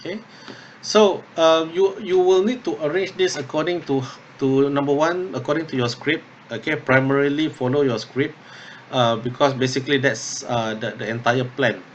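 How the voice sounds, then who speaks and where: A man instructor talking through an unscripted tutorial step by step, thinking aloud haltingly and often checking in with okay or yeah. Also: mid-range voice, lo-fi sound, a little hiss or hum. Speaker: drama workshop instructor.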